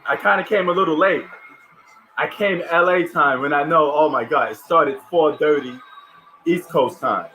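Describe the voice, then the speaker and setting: Chill new dude streaming from home, slangy, kinda high voice. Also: male voice, normal speed, pushed and bright, slight background noise, rough recording. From New York. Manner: laid-back, slangy, chatty. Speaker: live stream host. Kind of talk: live stream reaction commentary.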